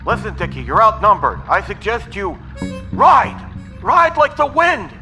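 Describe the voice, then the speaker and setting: An actor playing an earnest, conspiratorial young vampire-hunting sidekick in an audio drama. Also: male voice, high voice, huge pitch swings, a little slow, pushed and bright, soft background music, full character voice. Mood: urgent.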